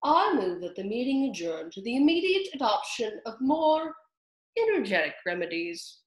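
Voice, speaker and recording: A woman's voice: fairly high pitch, big pitch swings, moderate pace. A woman voicing a solemn, formal old male dodo bird who takes offense easily. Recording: echoey room.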